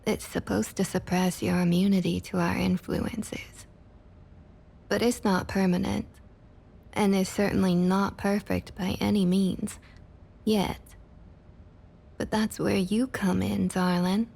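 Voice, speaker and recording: A woman playing a smug, opportunistic vampire council member in an ASMR roleplay, with a soft breathy Southern US accent and coaxing, condescending sweet talk. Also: medium pitch, little pitch movement, slow pace, pauses often, heavily acted. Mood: smug, explaining. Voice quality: soft, breathy, slightly husky. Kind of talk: ASMR roleplay, intimate scripted monologue.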